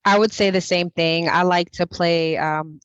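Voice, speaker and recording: A woman's voice: lowish voice, fairly even intonation, unhurried, clean tone, no echo, highs cut off. A woman cast member chatting casually in an interview about acting. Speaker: stage play cast member.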